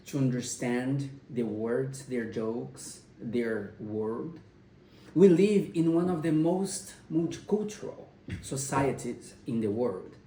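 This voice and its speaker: A young man whose first language is Portuguese gives a persuasive class speech at home, speaking haltingly with pauses and restarts. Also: mid-range voice, mellow, slight background noise. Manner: hesitant, with frequent pauses and restarts. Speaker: college student giving a speech. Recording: roomy sound, mic at a distance.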